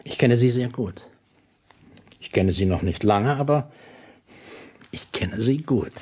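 An actor playing a stiff, formal, pompous detective agency boss in a German radio play. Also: male voice, mid-range voice, lively intonation, unhurried, darkish tone, husky. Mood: smooth, courteous.

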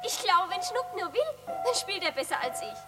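An actress playing an excitable, childlike sixteen-year-old girl in a radio play, exclamatory and girlish. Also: very high-pitched, normal speed, loud music behind the voice. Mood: delighted. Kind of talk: German radio play acting.

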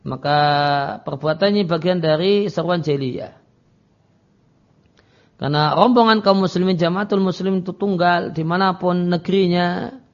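A man's voice: sits high, vocal fry. A man giving an Islamic lecture as a teacher, reading Arabic phrases and explaining them didactically in a dull, lo-fi recording.

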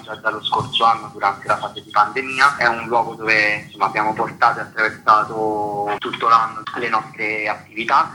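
A man's voice: lowish voice, sharp tone. A man being interviewed on the radio as a festival director, explaining things in a wordy way. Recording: noisy phone-like line with faint ambience.

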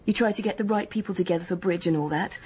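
Husky, hard-headed English dance hostess. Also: female voice, on the low side, fast, darkish tone. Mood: matter-of-fact. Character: hard-headed working-class hotel dance hostess, guarded, matter-of-fact. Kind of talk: radio drama acting.

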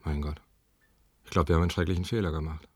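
Male hospital doctor, very low pitch, husky, dry studio sound, radio play. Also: fairly even intonation, racing, dry recording. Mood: shocked, grave. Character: man, hospital doctor, intimate with his partner, shaken. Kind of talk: German radio play acting.

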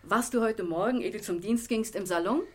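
An actress playing a caring, apologetic middle-aged wife in a German radio drama, explaining things gently. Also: female voice, medium pitch, brisk, slightly rough, natural voice. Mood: concerned.

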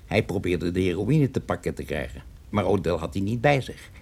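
A man acting as a streetwise, sceptical police investigator in a radio drama, husky and matter-of-fact. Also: low voice, sing-song, normal speed, a little mellow, full character voice.

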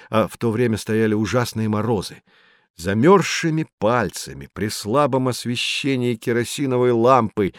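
A man playing a patient, earnest guide who explains things to children with deliberate emphasis in a scripted educational audio drama. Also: mid-range voice, no echo, studio quality, close to an everyday voice. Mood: solemn, earnest.

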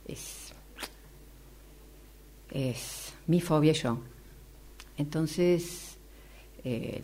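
A woman's voice: low voice, gravelly, dark tone. A woman with an Argentine accent, chatting casually and confidingly in a workshop exercise. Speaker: radio drama workshop participant. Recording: faintly noisy room recording.

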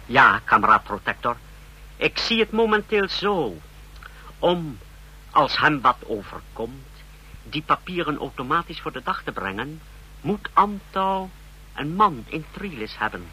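An actor playing an eager male officer reporting to his superior in a vintage radio drama, brisk and deferential. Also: a little high, big pitch swings, bright, forceful tone, slightly rough, heavily acted, rough recording. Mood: scheming, deferential.

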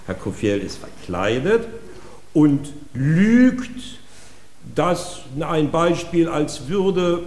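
A man's voice: on the high side, big pitch swings, extremely slow, darkish tone. Male narrator, roomy stage sound. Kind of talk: informal explanatory stage narration.

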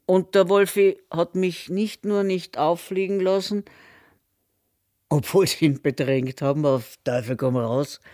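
An actor playing a reflective man with a Bavarian accent who recounts the past in measured, halting phrases in a radio drama. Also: a little high, a little mellow, no room sound, clean studio sound, natural voice. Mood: reflective, grave.

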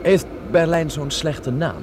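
An actor playing a polite, naive, eager young man of twenty-one in a radio play. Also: slow. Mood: naive, guarded. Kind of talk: radio drama acting. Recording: very noisy recording with train rumble.

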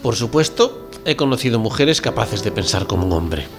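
Radio drama role: deferential, conventional male doctor played by a man, lowish voice, formal and halting, soft music underneath. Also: sing-song. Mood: polite, uneasy.

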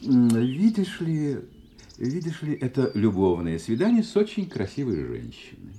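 An actor playing a suave, secretive husband with a volatile edge in an audio play, speaking in theatrical, silky tones. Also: male voice, mid-range voice, wildly swooping pitch, slight background noise. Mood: smooth, evasive. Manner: theatrical, silky endearments, drawn-out hesitations, sudden sharp outbursts. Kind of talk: Russian audio play acting.

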